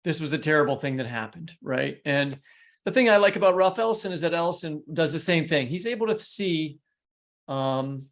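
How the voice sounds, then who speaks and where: A man's voice: a little high, expressive, normal speed, a bit bright. A man hosting a podcast interview, talking conversationally and working his way through long, discursive questions. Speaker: podcast host.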